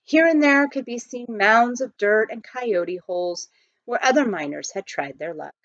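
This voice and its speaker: A woman reading a storybook aloud in an expressive narrating style. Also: fairly high pitch, normal speed, no echo. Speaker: audiobook reader, teacher. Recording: dull lo-fi close recording.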